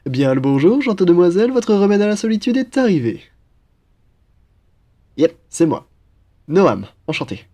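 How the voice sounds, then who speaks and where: A man's voice: high-pitched, darkish tone, voice pushed up, heavily acted. A young man playing an upbeat, cheeky student roommate in a French roleplay, with a playful, slangy, enthusiastic manner. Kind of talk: casual French roleplay acting.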